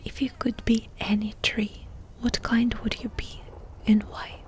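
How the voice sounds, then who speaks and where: A woman doing ASMR in a breathy whisper, softly and intimately asking questions with pauses between them. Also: on the high side, little pitch movement. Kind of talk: whispered ASMR personal-attention roleplay.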